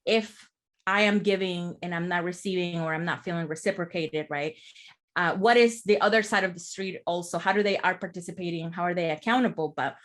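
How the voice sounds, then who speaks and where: A woman's voice: on the low side, brisk. A woman from El Salvador, a therapist, talking as a podcast guest in a reflective, explanatory way, often checking in with "right?". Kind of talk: casual podcast conversation.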